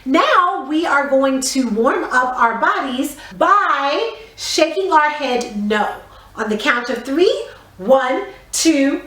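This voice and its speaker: Woman, high-pitched, children's theatre instructor, step-by-step warm-up directions, encouraging, distant echoey recording. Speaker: children's drama teacher. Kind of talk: guided theatre warm-up lesson for young children. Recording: roomy echo, distant microphone.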